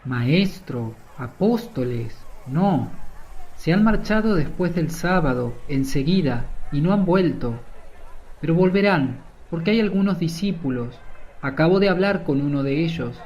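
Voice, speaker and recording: A helpful local man, played by an actor in a radio drama, explaining in a plain, informative way. His voice runs a little high, and the sound is dull and muffled. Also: expressive, unhurried, darkish tone.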